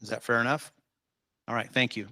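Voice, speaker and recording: Man, state official, public meeting, informal and rambling, forceful bright tone, dry. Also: mid-range voice, steady tone, a little fast, no room sound. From Utah. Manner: informal, rambling, with many ums.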